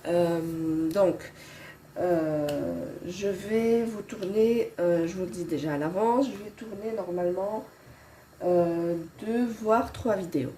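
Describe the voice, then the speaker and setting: A woman's voice: fairly low pitch, slow, mellow, slight background noise. A woman in her thirties with a Belgian French accent and a head cold, chatting casually and hesitantly to her viewers as she works through her monthly budget. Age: 30s. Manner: hesitant, many fillers and restarts. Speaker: budgeting vlogger.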